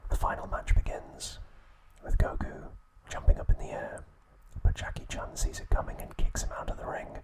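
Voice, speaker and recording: A man whispering a scripted recap as an ASMR host, in an unvoiced, breathy whisper with slow, measured phrasing. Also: low-quality recording.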